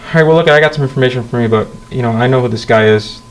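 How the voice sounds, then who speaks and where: A man's voice: medium pitch, racing, close to an everyday voice. A man playing a casual, reassuring police officer in a training role-play, with an informal way of talking. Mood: dismissive, casual.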